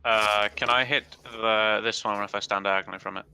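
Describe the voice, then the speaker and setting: A man's voice: fairly low pitch, steady tone, a little fast, bright, forceful tone, slight background noise. A man playing in a tabletop game, asking hesitant rules questions. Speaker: player. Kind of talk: casual game chat.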